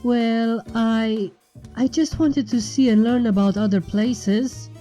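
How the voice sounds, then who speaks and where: A man's voice: very high-pitched, fairly even intonation, takes it slowly, mellow. A man voicing a small young female unicorn in a hesitant, apologetic manner. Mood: nervous. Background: background music.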